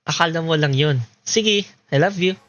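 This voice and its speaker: A man's voice: on the high side, normal speed, a bit bright, lo-fi sound, lightly acted. A man acting as a shy, insecure young student in love in a radio drama, with a Filipino accent. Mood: smitten, eager. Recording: music playing behind the voice.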